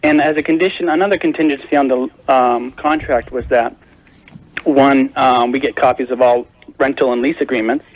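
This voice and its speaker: A man's voice: medium pitch, fairly even intonation, normal speed. A man calling a radio show, explaining his problem in a careful, wordy, hesitant conversational way. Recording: dull lo-fi call on radio tape.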